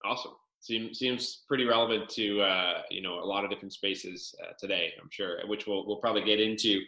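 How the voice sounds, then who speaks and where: A man's voice: on the low side, steady tone, fairly quick, bright, forceful tone. A man hosting a podcast interview, upbeat and enthusiastic, with loose filler-filled questions. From Canada. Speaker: podcast host. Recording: echoey room.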